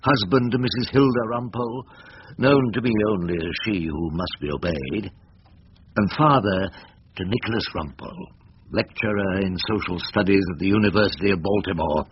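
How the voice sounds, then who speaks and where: A man's voice: sits low, moderate pace, natural voice. An older man with an English accent acting a wry, garrulous old barrister in a radio drama, rambling theatrically with dry irony. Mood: wry, reflective. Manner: rambling, theatrical, drily ironic. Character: elderly, wry, garrulous English barrister, poetry-quoting and irreverent. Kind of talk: radio drama acting with first-person narration. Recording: dull, noisy radio drama recording.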